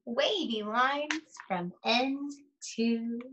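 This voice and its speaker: A woman's voice: a little high, extremely slow, a bit bright, highs cut off. A young adult woman, an art teacher, leading a read-aloud lesson for children with simple questions and prompts.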